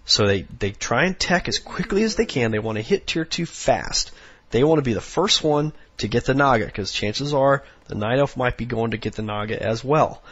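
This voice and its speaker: A hoarse, sniffly man with a raspy voice, rambling through casual strategy commentary with lots of fillers. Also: mid-range voice, expressive, normal speed, fairly bright tone, lo-fi sound. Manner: rambling, many fillers, instructional. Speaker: game commentator.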